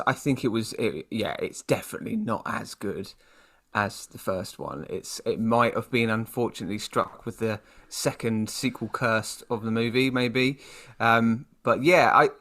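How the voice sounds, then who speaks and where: Bright-voiced British man chatting casually. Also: mid-range voice. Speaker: podcast co-host. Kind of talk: casual chat.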